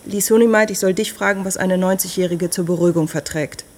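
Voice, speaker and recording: An actress in a radio drama playing a brisk, frank hospital doctor who talks in a direct, matter-of-fact way. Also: female voice, lowish voice, steady tone, natural voice. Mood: matter-of-fact, concerned.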